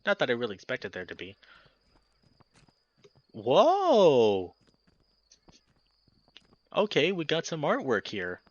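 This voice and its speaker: A man hosting a let's play, reading a visual novel aloud in steady narration with animated, jokey asides. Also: medium pitch, slow, long pauses, dry recording, dull audio.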